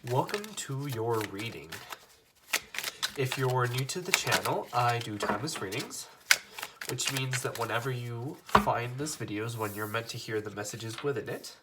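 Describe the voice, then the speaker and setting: A young man with a cold, giving a relaxed tarot reading straight to his viewers, with plenty of fillers. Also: medium pitch, normal speed, very noisy. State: fighting off a cold. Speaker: tarot reader. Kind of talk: casual tarot reading to camera.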